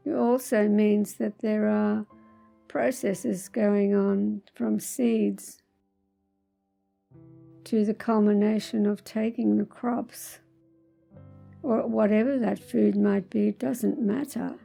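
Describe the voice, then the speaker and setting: A woman leading a spiritual guided meditation, speaking in measured, deliberate phrases with pauses in a soothing manner. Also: mid-range voice, steady tone, vocal fry, mellow, soft background music.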